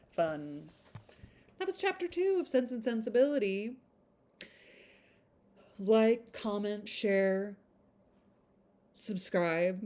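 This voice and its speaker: Woman, mid-pitched voice, audiobook-style reading, casual asides, chatty and amused. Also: dark tone. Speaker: reader of a classic novel for a podcast.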